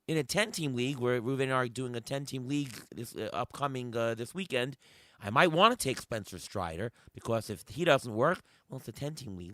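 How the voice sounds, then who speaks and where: A hoarse man hosting a sports podcast, firing off questions and thinking aloud in casual chat. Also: medium pitch, fairly bright tone, no echo. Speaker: podcast host.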